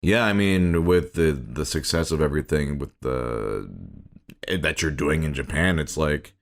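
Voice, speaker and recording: A man's voice: rumbling low, measured pace. A man in his thirties hosting a casual podcast chat, laid-back, with frequent agreeing murmurs and the occasional swear word. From United States. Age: 30s. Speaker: podcast host.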